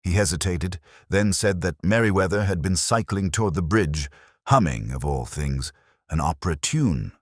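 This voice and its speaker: A weary, cultured police inspector played by a man narrates a detective drama in an extremely low, husky voice. He is brooding and measured, with literary phrasing. The sound is close and dry. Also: animated, no echo. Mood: melancholy, pensive. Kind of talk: scripted first-person detective drama narration.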